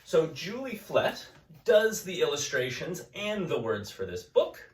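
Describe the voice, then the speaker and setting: A man teaching a class, chatting gently and reading a picture book aloud to children. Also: on the high side, very animated, a little slow, a little hiss or hum. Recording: echoey room, mic at a distance.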